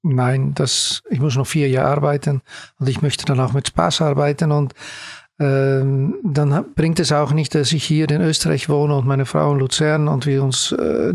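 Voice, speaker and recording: A middle-aged man from the Netherlands with a Dutch accent, a native Dutch speaker answering interview questions in halting, hesitant phrases as a sports coach. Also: a little high, vocal fry, a little mellow, dry recording, studio quality.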